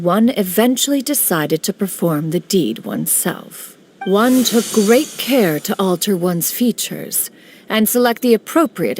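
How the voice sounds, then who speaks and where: A proud, ancient crane spirit in a woman's form, speaking in a formal, old-fashioned manner and calling herself "one". Her voice sits at an ordinary middle pitch. Game music plays underneath. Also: vocal fry, a little mellow. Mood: stately. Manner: archaic, stately speech, calls herself "one". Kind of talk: video game character dialogue.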